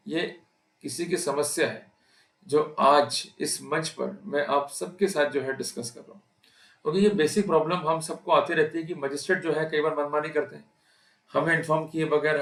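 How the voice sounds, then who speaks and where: A man's voice: on the high side, fairly bright tone, reverberant. A man with an Indian accent giving an explanatory law lecture, emphatic, putting rhetorical questions to his listeners. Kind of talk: explanatory legal lecture mixing Hindi and English terms.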